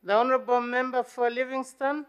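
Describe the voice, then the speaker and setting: A woman chairs a parliamentary sitting. Her voice is slightly high and very clean and smooth, and she hands out rulings in a formal, authoritative way. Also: level tone, a little fast. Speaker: parliament speaker presiding.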